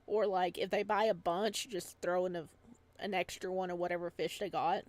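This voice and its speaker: A woman's voice: fairly low pitch, flat delivery, normal speed. A woman hosting a gaming video, reading a story aloud and adding casual commentary.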